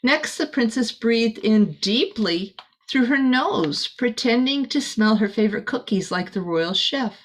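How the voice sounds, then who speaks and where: A woman with a fairly high voice reads a picture book aloud for a young child. Her delivery is expressive, and she slips in small chatty asides as she goes. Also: unhurried, slight background noise. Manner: expressive, addressing a young listener. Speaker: storybook reader.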